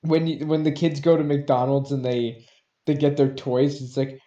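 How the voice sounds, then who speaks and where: Mellow man bantering in an echoey room. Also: fairly high pitch, level tone, normal speed, highs cut off. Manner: jokey, acts out bits. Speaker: podcast co-host. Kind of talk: casual comedic banter. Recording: roomy, echoing sound.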